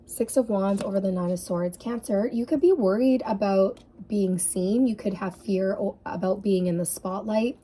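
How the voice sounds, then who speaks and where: A woman reads tarot cards in a loose, earnest way, filling her talk with "like" and "um." Her voice is mellow and soft. She sounds a little sniffly and tired. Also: mid-range voice, moderate pace, few pauses, slight background noise, poor audio. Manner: earnest, intuitive, many fillers like "like" and "um". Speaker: tarot reader. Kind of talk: casual tarot reading.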